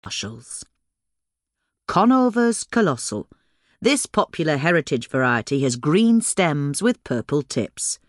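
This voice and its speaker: A woman narrates a practical how-to guide with careful, measured diction. Her voice sits on the low side but moves with lively intonation, recorded close and dry. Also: no room sound. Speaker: reader of a gardening guide. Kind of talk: scripted instructional narration.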